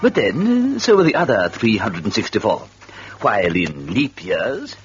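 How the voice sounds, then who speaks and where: An actor playing a chatty, gleefully morbid devil who hosts a radio horror tale with fussy, gloating asides. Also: male voice, fairly low pitch, sing-song, measured pace. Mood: gleeful, mocking. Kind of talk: old radio horror host acting. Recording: dull lo-fi radio, music behind.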